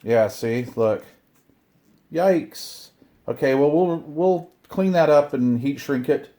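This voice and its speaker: A middle-aged or older man chatting casually to viewers while unboxing, excited and rambling, often talking to himself. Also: a little high, slow. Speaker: hobbyist video host. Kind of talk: casual unboxing chat to camera.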